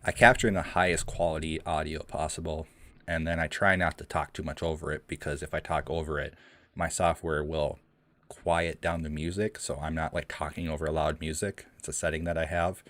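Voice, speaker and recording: A young man with a deep voice hosts a casual live Q&A, rambling with many ums and restarts, and his voice shakes a little from the cold. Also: level tone, brisk, fairly bright tone, no room sound. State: shaky voice from the cold. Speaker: streamer hosting a live Q&A. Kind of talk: casual livestream chat. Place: cold room at home. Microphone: home streaming mic.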